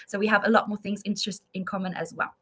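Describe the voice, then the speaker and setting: A woman's voice: medium pitch, little pitch movement, fast talker, fairly bright tone. A woman in her twenties teaching a one-to-one online English lesson in an encouraging, affirming manner. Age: 20s.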